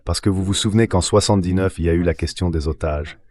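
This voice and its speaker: A man delivering a measured, explanatory political analysis as an interview guest, in a dubbed translation. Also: deep voice, fairly even intonation, a little mellow.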